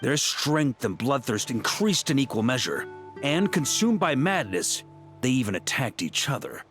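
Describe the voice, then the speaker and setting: A composed male knight commander in a video game. His medium-pitched voice moves expressively while staying formal and earnest, over faint music. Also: sing-song, normal speed, a bit bright. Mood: grave.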